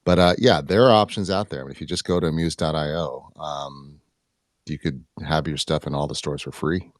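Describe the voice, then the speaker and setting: Male panel participant, extremely deep voice, dry recording, laid-back and slangy while explaining. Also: steady tone, fairly quick, no room sound. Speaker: discussion participant giving advice. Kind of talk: casual unscripted group discussion. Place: live online audio chat room.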